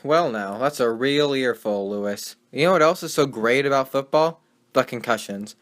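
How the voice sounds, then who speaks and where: A skeptical, slangy sports host from a 1920s radio show, acted by a man. His voice sits at a medium pitch. He talks in a folksy, sardonic way, like a born wisecracker. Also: normal speed, a bit bright, close to an everyday voice. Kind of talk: 1920s radio broadcast acting with period slang.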